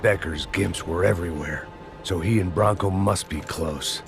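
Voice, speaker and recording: A hard-bitten, middle-aged American antihero in a video game. His voice is extremely low and he narrates with bitter, deadpan self-mockery. Loud music plays underneath. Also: moderate pace. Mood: weary, self-mocking. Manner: world-weary, sardonic, deadpan. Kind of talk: scripted noir voice-over narration.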